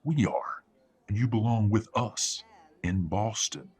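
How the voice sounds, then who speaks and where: Guy acting rich bossy werewolf dad, really deep husky voice, formal n condescending, audio drama. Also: slow, darkish tone. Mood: controlling, patronizing. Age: middle-aged. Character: imperious wealthy werewolf patriarch, coldly polite, controlling father.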